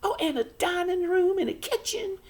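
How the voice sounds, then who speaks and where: A man reading aloud and voicing a fretful tiny housewife who moans and wails in a high, breathy voice. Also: lively intonation, moderate pace. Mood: fretful wailing. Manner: moaning and pleading. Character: fretful tiny old-fashioned housewife, easily alarmed.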